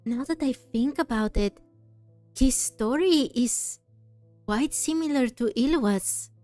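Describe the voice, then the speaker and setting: Girl streamer thinking out loud over a game, slow and mellow, higher voice, close dry mic. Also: no echo, faint music behind. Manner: musing, thinking aloud. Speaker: streamer playing a story game. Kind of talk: casual gameplay commentary.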